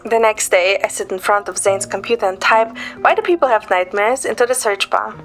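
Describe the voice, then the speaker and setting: A woman playing a playful, caring young woman who narrates a romantic story in the first person, in a gentle, soft manner. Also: medium pitch, a little fast, faint music behind, natural voice. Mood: light, playful. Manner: gentle, soft, sometimes whispering.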